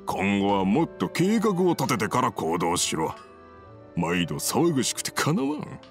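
An actor playing a stern but caring old swordsmith in an anime game, gruff and curt, with an old-fashioned elder's way of speaking. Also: male voice, lowish voice, wildly swooping pitch, unhurried, heavily acted. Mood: gruff, conceding. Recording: studio voice over game music.

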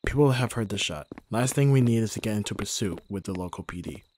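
A man playing a gruff, protective young bodyguard in an ASMR roleplay, with a husky voice and a sardonic, intimate delivery. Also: fairly low pitch, animated, fast talker, a little mellow, slight background noise, lightly acted. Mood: urgent, commanding. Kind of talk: ASMR roleplay audio drama.

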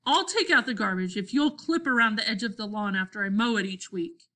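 An easygoing, kindly father from a children's storybook, voiced by a woman reader. The delivery is calm and affable, with a clear bright tone. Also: mid-range voice, a little fast, natural voice.

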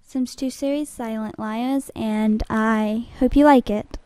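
High-voiced young woman, casual. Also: fairly even intonation, slow pace, dark tone, smooth, no echo. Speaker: amateur voice actor auditioning. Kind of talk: casual introduction.

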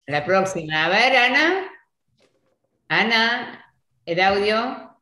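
A woman of Italian birth moderating a video call in Spanish, calling on people briskly and reassuring them. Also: medium pitch, expressive, pushed and bright. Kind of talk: casual chat. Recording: roomy, faint noise.

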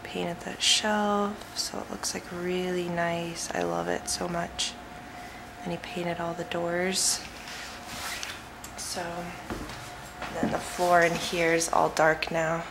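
A woman's voice: lowish voice, flat delivery, takes it slowly. Chatty young woman in an echoey empty house. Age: young adult. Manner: chatty, rambling with fillers. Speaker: vlogger. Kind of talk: casual vlog. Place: empty house with bare rooms, indoors. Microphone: handheld camera at arm's length. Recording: echoey empty room, audible noise.

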